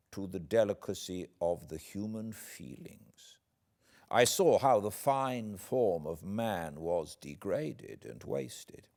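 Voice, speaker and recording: Deep, slow British male narrator. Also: gravelly. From Britain. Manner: measured, expressive, theatrical delivery with deliberate pauses. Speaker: audiobook narrator. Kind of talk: dramatic literary reading of a classic novel.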